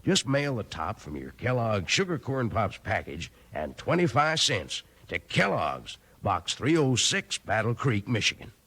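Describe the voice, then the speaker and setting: An American man works as a 1950s radio pitchman. His voice is low and rough-edged, swinging widely up and down. He sells with emphatic, folksy Western phrasing. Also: sing-song, unhurried, few pauses, pushed and bright. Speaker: radio commercial announcer.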